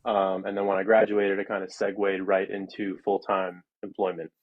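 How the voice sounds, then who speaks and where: Low-voiced chatty man in his thirties. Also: flat delivery, normal speed, narrow, tinny audio. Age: 30s. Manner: chatty and rambling, lots of "like" and "um". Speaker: guest architect. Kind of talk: casual interview talk.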